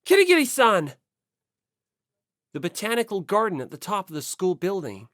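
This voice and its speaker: A woman narrates a story in a lowish voice with very lively intonation. The sound is close and dry, in the manner of a scripted audiobook. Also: quick pace, no room sound.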